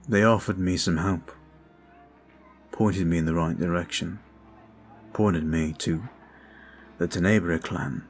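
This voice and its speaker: Guy doing a brooding vampire, very low voice, slow and confiding, soft music under it. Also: steady tone. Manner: slow, intimate, confiding monologue. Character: brooding male vampire bound in service. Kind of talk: ASMR roleplay audio.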